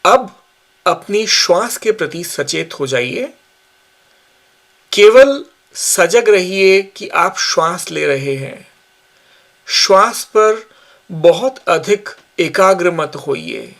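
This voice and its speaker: A man guiding a yoga nidra relaxation session with slow, calm, measured instructions. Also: high-pitched. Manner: slow, calm, measured guidance with long pauses. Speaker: yoga teacher.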